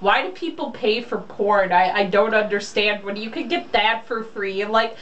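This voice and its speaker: A woman's voice: mid-range voice, moderate pace, a bit bright, muffled recording. A woman chatting casually and reading aloud in a blunt, sarcastic, mocking way, swearing freely. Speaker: co-host reading a romance novel aloud. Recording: distant mic, faint background noise.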